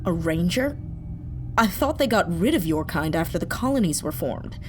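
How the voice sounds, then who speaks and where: Woman playing a defiant, sharp-tongued space traveller over music. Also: fairly low pitch, very animated, moderate pace. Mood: challenging. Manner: sarcastic, challenging. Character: defiant, skeptical female space-mission survivor, sharp-tongued. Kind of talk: sci-fi audio drama acting.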